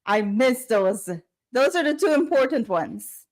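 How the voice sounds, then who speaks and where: Woman interview host, higher-pitched voice, conversational delivery. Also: lively intonation, measured pace.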